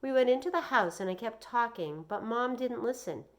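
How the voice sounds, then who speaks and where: Teacher lady doing a read aloud for kids, voice a bit high, expressive, deliberate. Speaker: teacher reading a novel aloud. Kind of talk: read-aloud with explanations and questions for children.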